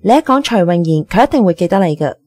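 An actress with a Hong Kong Cantonese accent playing a gentle, caring young best friend in a radio drama. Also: female voice, lowish voice, expressive, fast, no echo, faint music behind. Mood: gentle, reassuring.

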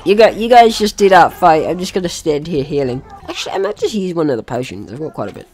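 A man's voice: a little high, huge pitch swings, quick pace, darkish tone. A man doing casual let's play commentary, with playful asides and game text read aloud. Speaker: gaming video host.

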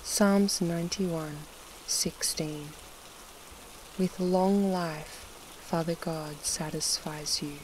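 A narrator reading scripture and prayer for a sleep meditation in a measured, solemn way with pauses between short phrases, in a devotional style. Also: medium pitch, a little hiss or hum, low-quality recording. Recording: steady rain sound over the voice. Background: soft rain.

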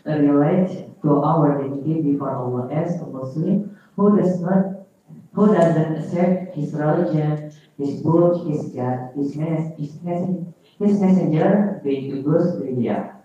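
A man with an Indonesian accent asks his question in hesitant, halting English with a mellow tone. He sounds far from the mic, dull and echoing in a big hall. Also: on the high side. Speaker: audience questioner. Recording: distant, dull, echoey hall.

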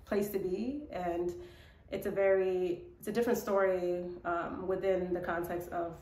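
A woman's voice: lowish voice, steady tone, unhurried, warm tone, slight background noise. A young woman talking reflectively and casually to camera in a personal vlog. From born in Ethiopia to South Sudanese parents, grew up in Minnesota, United States. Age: young adult. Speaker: vlogger, model and actress. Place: small indoor room by a window. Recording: echoey room.

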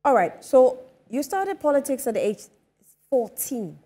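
A woman's voice: high-pitched, very animated, a little slow, dark tone. A woman, hosting a TV interview and asking probing, conversational questions on a lapel mic in a studio. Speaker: TV talk show host. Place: bright TV studio.

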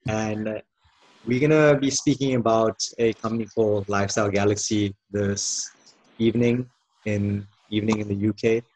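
South african guy presenting online, lowish voice, measured n deliberate, dry sound no echo. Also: steady tone, darkish tone. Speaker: guest presenter. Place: indoor room, on a video call.

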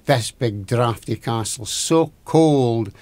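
A man's voice: mid-range voice, moderate pace, gravelly, no room sound, close to an everyday voice. A man voicing a fisherman's grumbling, never-satisfied wife in a folktale, with a Scottish accent and an emphatic, complaining manner. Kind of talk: oral folktale storytelling.

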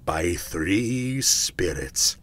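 A man voicing a tormented, mournful ghost in a storybook reading, with slow, drawn-out declamation. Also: deep voice, sing-song, a bit bright, pitched below the performer's own voice, heavily acted. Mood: grave, weary. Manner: slow, drawn-out, declamatory delivery with wailing cries. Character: tormented male ghost, mournful and solemn warning spirit.

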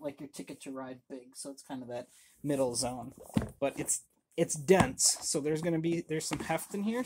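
A man's voice: fairly high pitch, noisy background. A man in his thirties hosting a casual board game unboxing at home on a webcam, rambling and self-deprecating with lots of fillers and restarts. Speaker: board game channel host. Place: home room with game shelves.

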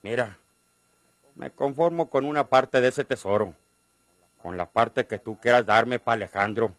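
An actor playing an insistent, wheedling rustic schemer in a Mexican radio drama, with a northern Mexican accent and a folksy, coaxing manner. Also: male voice, mid-range voice, a little slow, no room sound, lightly acted. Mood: conciliatory.

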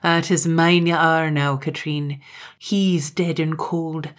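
A woman reading a story aloud, voicing a murderous, possessive Highland man who talks in a forceful, menacing dialect. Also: steady tone, slow pace. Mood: gloating.

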